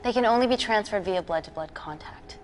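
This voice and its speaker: A woman's voice: medium pitch, fairly quick, slight background noise, natural voice. A woman playing an authoritative scientist in a screen drama, speaking in a precise, clinical way. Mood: grave explaining. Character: calm, authoritative woman scientist, protective of her patient. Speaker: doctor leading a laboratory. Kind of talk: naturalistic screen drama acting.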